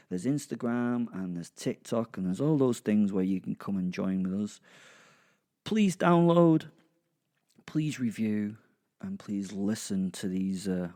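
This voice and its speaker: A man gives an informal podcast introduction. His voice is on the low side and mellow, and his delivery wanders along with a wry touch. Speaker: podcast host.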